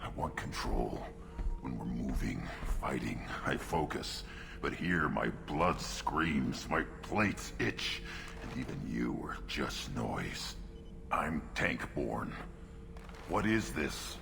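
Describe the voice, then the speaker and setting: Game creature role: young alien brute, extremely low and slow, brooding fury in clipped phrases. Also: male voice, sing-song, music in the background, full character voice. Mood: restless, tense. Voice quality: gravelly growl. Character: restless young tank-born alien warrior, brooding and furious. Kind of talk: video game voice acting.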